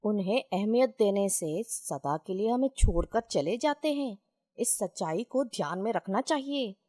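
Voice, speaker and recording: A woman storyteller voicing a wise, humble old retired gentleman who gives measured, philosophical counsel. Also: mid-range voice, lively intonation, husky, dry recording, studio quality. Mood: philosophical, instructive.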